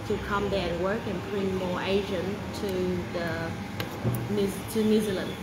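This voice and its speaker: A woman in her twenties, born in Vietnam, a job applicant telling her work history in halting speech with many fillers and restarts. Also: medium pitch, steady tone, over loud music. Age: 20s. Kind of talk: interview answers. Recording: echoey room, loud background noise.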